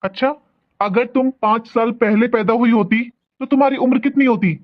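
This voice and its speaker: A woman playing a patient, measured, insistent questioner in a cartoon comedy skit. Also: fairly high pitch, lo-fi sound, close to an everyday voice. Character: patient questioner posing a trick riddle.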